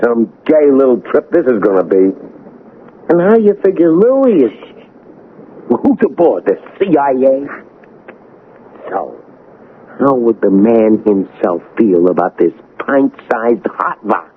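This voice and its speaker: A man's voice: medium pitch, very animated, slow pace, long pauses, phone-quality sound. A man acting a blunt, hot-tempered, suspicious small-time crook in a radio drama, on an old broadcast recording. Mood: irritated. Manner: blunt, griping, demanding. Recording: band-limited old radio broadcast, some noise.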